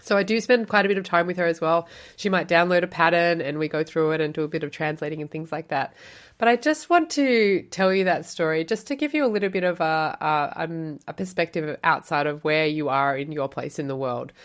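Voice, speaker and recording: A woman's voice: low-pitched, a little fast. An Australian woman hosting a podcast, explaining quilt pattern writing in a chatty, encouraging way with lots of rhetorical questions. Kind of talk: solo podcast teaching.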